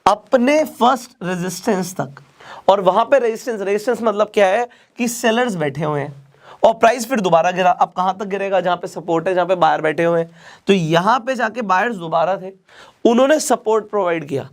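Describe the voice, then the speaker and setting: A man from India with a sore, slightly hoarse throat, teaching a lesson and talking straight to his viewers, slipping English phrases into his Hindi. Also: high voice, fairly quick. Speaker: trading teacher. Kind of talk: explaining lesson to camera.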